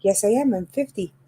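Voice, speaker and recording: Chatty fifty-year-old woman, mellow voice. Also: high-pitched, breathy edge. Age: 50s. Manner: chatty, lots of fillers and laughs. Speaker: channel host. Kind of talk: casual chat about makeup.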